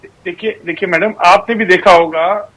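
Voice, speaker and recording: A man's voice: sits high, steady tone, normal speed, fairly bright tone, rough recording. A man arguing insistently as a panelist in a TV news debate, often repeating himself for emphasis. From India. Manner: insistent, repetitive, argumentative. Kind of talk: TV debate argument.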